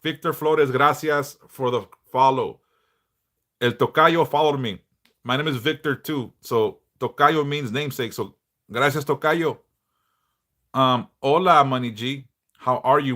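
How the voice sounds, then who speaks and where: A middle-aged man a big bass voice, chatting bluntly and passionately on a livestream, swearing freely. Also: fairly even intonation, measured pace, sharp tone. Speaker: livestream host, devotional teacher. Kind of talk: casual livestream chat.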